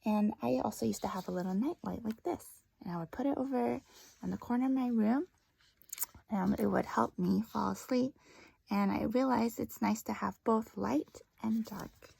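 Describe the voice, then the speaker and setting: A woman reading a story aloud to young children as a teacher, often dropping into hushed, breathy asides. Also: on the high side, moderate pace, no echo, poor audio. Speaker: first grade teacher reading a picture book aloud.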